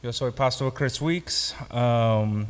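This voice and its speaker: A male pastor lectures a class slowly in a non-native accent. His phrasing is halting, with a learner's grammar slips. His voice sits at a middling-to-ordinary male level and rings through a long hall reverb. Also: mid-range voice, smooth. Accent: foreign, non-native Spanish. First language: not Spanish. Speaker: pastor and Bible course teacher. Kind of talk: classroom lecture, explaining a course. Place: classroom or hall with students present.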